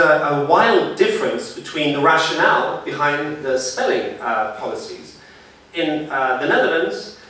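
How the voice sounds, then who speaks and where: Male phonetician giving a symposium talk, slightly high voice, loose and conversational, heard from afar in a very reverberant lecture hall. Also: bright, forceful tone. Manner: conversational, thinking aloud with frequent pauses. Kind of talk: academic conference talk. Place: large lecture room. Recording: very echoey hall, mic far off.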